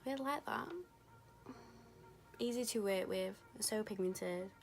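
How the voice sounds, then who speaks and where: A young woman, rambling casually through a makeup tutorial, her voice going hoarse. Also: medium pitch, slow. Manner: chatty, rambling, lots of fillers. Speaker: beauty vlogger. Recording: music playing behind.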